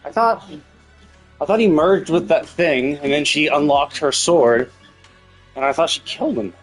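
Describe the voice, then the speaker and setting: A man's voice: fairly high pitch, unhurried, poor audio. A man casually commentating on a game as a live streamer, rambling and thinking aloud, bluntly and with some swearing. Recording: game music behind the voice. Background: game music.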